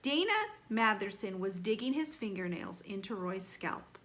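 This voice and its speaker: A woman reading a children's novel aloud like a teacher, animated, with deliberate emphasis and dramatic slowing on key phrases. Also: mid-range voice. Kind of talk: expressive children's read-aloud.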